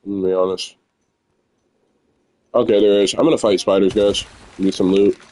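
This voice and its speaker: Young guy talking while gaming, kinda low voice, loud and rambly, lots of ums. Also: fairly even intonation, moderate pace, rough recording. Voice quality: naturally loud voice. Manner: rambling, candid, filler-heavy. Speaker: gamer streamer. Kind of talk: casual gameplay commentary.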